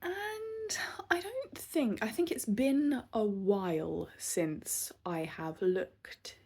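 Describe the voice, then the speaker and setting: A woman in her thirties recording a casual vlog, talking unscripted and candidly, with many pauses, fillers and restarts. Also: a little high, lively intonation, darkish tone, husky. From southern England. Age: 30s. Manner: candid and self-reflective. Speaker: vlogger.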